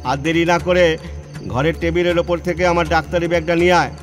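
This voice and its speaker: A man playing an elderly, lonely and compassionate Bengali scholar in an audio play, speaking in an emotive, halting, theatrical way. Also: on the high side, fairly even intonation, faint music behind, close to an everyday voice. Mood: brisk, caring. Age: older. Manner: slow, emotive, halting with pauses.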